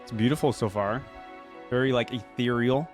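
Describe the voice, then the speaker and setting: A man's voice: mid-range voice, normal speed, a little mellow, faint music behind. A man giving casual reaction commentary as a host, speaking informally and thinking aloud.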